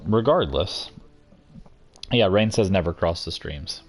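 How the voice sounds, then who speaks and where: A man with a very deep voice hosts a live game stream. He talks casually and deliberately, bantering with friends and addressing viewers as he goes. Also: animated. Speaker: host of a live gaming stream. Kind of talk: casual gameplay chat, reading viewer comments aloud.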